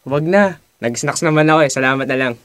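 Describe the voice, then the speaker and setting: A man's voice: a little high, quick pace, no echo, studio quality, close to an everyday voice. A young man acting an easygoing, supportive teenage schoolmate in an amateur radio drama, with a Filipino accent. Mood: casual. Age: young adult.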